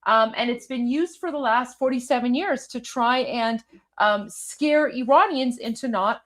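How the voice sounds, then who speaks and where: A woman with a Canadian, Toronto-style accent hosts a live commentary stream. She pitches her voice a little high and talks in an animated way, repeating herself and using lots of fillers. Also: measured pace. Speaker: host. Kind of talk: live commentary on a news clip.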